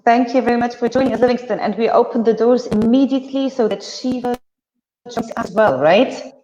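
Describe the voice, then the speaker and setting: A woman's voice: a little high, brisk, warm tone, a little hiss or hum. Woman, from Austria, host, upbeat and welcoming, roomy echo, dull lo-fi call audio. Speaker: live-stream host. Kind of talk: hosting a live discussion.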